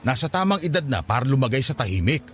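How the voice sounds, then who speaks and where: A man acting a devout, wise, gently nagging father in his fifties in a Filipino radio drama, speaking in a fatherly, reassuring way with a Filipino accent. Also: medium pitch, very animated, fast, slight background noise, lightly acted. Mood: nagging, exasperated. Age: 50s.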